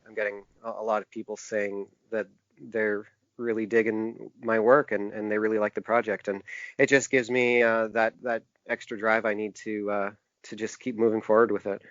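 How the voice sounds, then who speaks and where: A middle-aged man speaking casually as an interview guest, in a hesitant, halting, self-reflective way. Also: lowish voice, flat delivery, no room sound, muffled recording. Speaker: podcast guest, author and small publisher.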